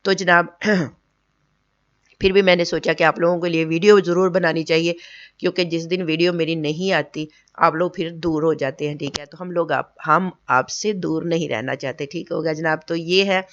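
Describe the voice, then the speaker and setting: Woman, tutorial host, hoarse throat, flat and patient, lo-fi dry mic. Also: sits low, moderate pace, no room sound. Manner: keeps checking in with "okay?" and repeats points patiently. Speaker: online earning tutorial host. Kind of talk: casual screen tutorial.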